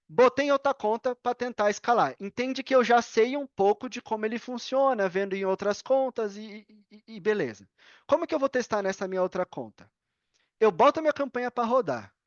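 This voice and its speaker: A Brazilian woman talks casually as a podcast guest, opinionated and emphatic. She repeats her key points and answers her own questions. The sound is close and dry, a little muffled, at an average pitch. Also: lively intonation, fairly quick, no room sound. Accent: Brazilian Portuguese. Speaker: podcast guest, affiliate marketer. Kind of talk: casual podcast talk, explaining with examples.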